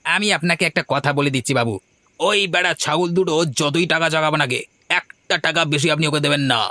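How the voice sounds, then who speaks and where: Cartoon schemer male actor: ingratiating, spiteful henchman, highish and tense voice. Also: quick pace, sharp tone, no echo. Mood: spiteful, fawning. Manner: sly, ingratiating. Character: scheming, spiteful flatterer, village boss's male sidekick. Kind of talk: cartoon dialogue.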